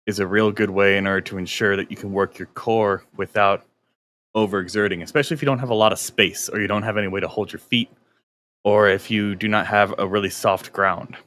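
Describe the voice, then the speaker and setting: A man's voice: fairly low pitch, fairly bright tone, a little hiss or hum. A young adult man chatting casually on a game stream, explaining workouts in a coaching way, often restarting his sentences.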